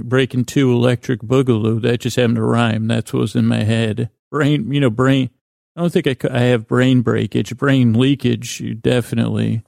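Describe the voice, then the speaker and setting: Gravelly guy rambling a bedtime podcast, kinda monotone, stumbles over words, really dry close mic. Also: medium pitch, no room sound. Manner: halting, meandering, with stammered false starts and trailing thoughts; lulling, soothing tones. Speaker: host of a sleep podcast. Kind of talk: rambling bedtime monologue, talking to the listener.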